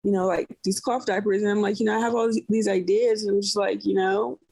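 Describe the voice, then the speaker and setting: A woman's voice: mid-range voice, steady tone, quick pace, gravelly, warm tone, breathy edge, no echo. A woman from the San Francisco Bay Area, a guest chatting casually on a podcast and rambling with lots of filler words. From San Francisco Bay Area, USA. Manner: rambling, many fillers like "you know". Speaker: guest, cloth diaper brand owner. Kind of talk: casual interview chat.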